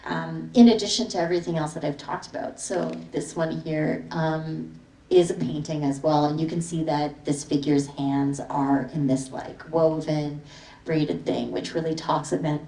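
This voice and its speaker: A woman artist from the Philippines talking through slides of her work to a gallery audience, relaxed and meandering with many ums and likes. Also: deep voice, normal speed. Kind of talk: casual gallery talk with slides. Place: gallery event space with audience. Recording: echoey hall from far off.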